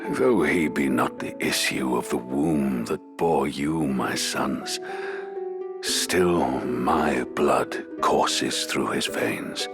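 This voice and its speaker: Very deep, dying old knight. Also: male voice, exaggerated voice. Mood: weak, tender. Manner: faint, halting, solemn. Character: dying noble father, a grave old knight. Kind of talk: dramatic fantasy game voice acting. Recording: game clip under loud music.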